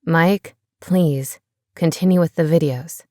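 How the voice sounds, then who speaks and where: A woman's voice: deep voice, slow pace, dry recording, clean studio sound, pitched below the performer's own voice. A woman reading voicing a bitter, newly cloned man who lashes out in anger. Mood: pleading, frustrated. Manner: angry, forceful.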